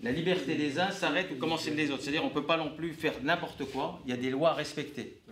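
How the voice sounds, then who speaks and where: Teacher in an echoey classroom. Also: male voice, on the high side, steady tone, fast, crowd noise. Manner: asks the class questions, clear and didactic. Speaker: trainer in class. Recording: echoey classroom with people around.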